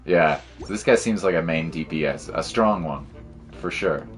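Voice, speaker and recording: A man's voice: deep voice, normal speed, soft background music. A man chatting casually and commentating as a game streamer, with a laid-back, slangy way of talking. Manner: laid-back slangy talk with mild swearing. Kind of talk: casual livestream chat and gameplay commentary.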